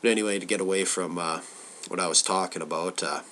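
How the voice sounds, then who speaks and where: A man's voice: low voice, fairly even intonation, quick pace, bright, forceful tone, slight background noise. A man with a cold and a stuffy nose, giving a loose, rambling film review full of filler words.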